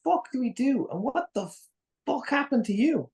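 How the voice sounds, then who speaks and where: An actress in a dramatic table read playing a panicked, incredulous person, stammering and exasperated. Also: female voice, high-pitched, sing-song, moderate pace, a little mellow. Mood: frantic, exasperated. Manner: stammering, exasperated, foul-mouthed.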